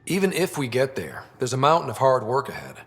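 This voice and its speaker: Lively young man, wry space explorer. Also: mid-range voice, brisk, in a large hall. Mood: concerned. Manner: wry, casual. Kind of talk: video game dialogue acting.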